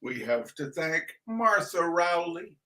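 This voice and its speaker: Male livestream host, higher-pitched voice, unhurried and rambling, theatrical with mock-grand flourishes. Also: sing-song, pushed and bright. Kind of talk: casual rambling livestream introduction with shout-outs.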